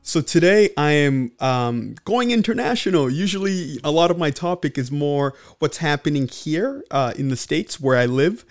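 A man's voice: a little high, expressive, moderate pace, hardly any pauses, soft background music. A man from the Congo hosting a podcast, speaking earnestly with frequent fillers and restarts. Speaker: podcast host. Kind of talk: casual podcast monologue.

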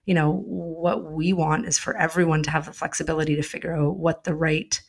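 A woman's voice: deep voice, level tone, fairly quick. A woman in casual conversation, reflective, asking open questions and leaning on "you know" and "like".

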